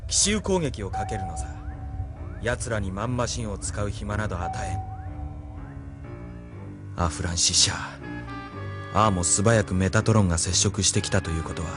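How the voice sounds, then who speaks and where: Cold, low-voiced villainous officer, acted by a man. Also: normal speed, long pauses, close to an everyday voice. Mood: scornful, menacing. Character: cold, confident male military villain, scornful officer. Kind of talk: Japanese sci-fi anime audio drama acting. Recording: music behind the voice.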